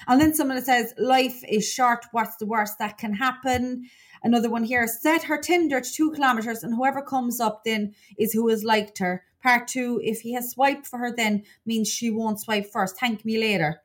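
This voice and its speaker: A woman's voice: on the high side, fairly even intonation, moderate pace. An Irish woman with a Cork accent co-hosting a podcast in a casual, gossipy, confiding way. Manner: chatty, colloquial, confiding. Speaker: podcast co-host.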